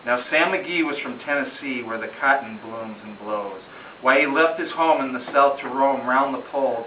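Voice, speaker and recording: A man giving a dramatic, rhythmic recitation of a narrative ballad as an amateur reader, telling it like a story with emphatic phrasing. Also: medium pitch. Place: room in a home, at a gathering. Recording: roomy distant muffled home recording, faint noise.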